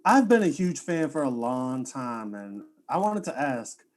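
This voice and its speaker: A woman's voice: rumbling low, sing-song, unhurried, a little mellow, full character voice. Woman doing a shameless influencer, slangy, self-absorbed, takes her time but voice jumps around. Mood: eager. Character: shameless livestreaming influencer, a gushing fan.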